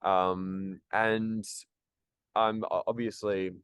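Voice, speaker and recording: A man with a very low voice that barely moves in pitch. He answers interview questions casually, stumbling and restarting often. The sound is dry and close. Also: little pitch movement, drawn-out words, fairly bright tone, smooth, dry recording. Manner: hesitant, many ums and restarts. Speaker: actor and musician, interview guest.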